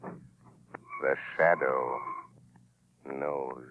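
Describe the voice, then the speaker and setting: An actor playing a sinister unseen avenger in an old radio mystery, speaking in an ominous, mocking manner. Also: male voice, a bass voice, steady tone, drawn-out words, narrow, tinny audio, heavily acted. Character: sinister unseen male avenger, a taunting voice of conscience. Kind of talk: 1940s radio mystery acting. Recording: thin band-limited old radio recording.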